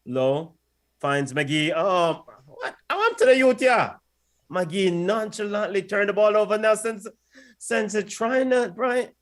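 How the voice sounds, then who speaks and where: A man's voice: high-pitched, expressive, takes it slowly. A man doing casual play-by-play on a watch-along stream, and often pleading with the players and the referee. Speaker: co-host and match commentator.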